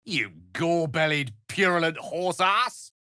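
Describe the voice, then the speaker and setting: A man's voice: on the high side, lively intonation, a little slow, sharp tone, dry recording, clean studio sound. A man playing an eloquent, bookish video game wizard, wry, proud and courteous, with an articulate, theatrical and refined delivery. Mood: forceful. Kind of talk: video game character acting.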